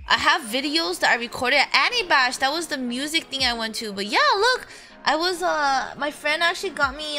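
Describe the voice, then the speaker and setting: A young woman streamer telling stories casually, chatty and a little crude, jumping between excited squeals and flat deadpan jokes. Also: on the high side. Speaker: VTuber streamer. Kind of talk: casual storytelling vlog.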